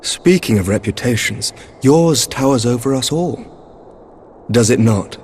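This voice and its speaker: A man's voice: mid-range voice, lively intonation, unhurried, darkish tone, a little hiss or hum, lightly acted. A man voicing a noble male knight commander in a game cutscene, speaking in a formal, courtly, old-fashioned way. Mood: gracious. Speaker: game character. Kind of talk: video game cutscene dialogue.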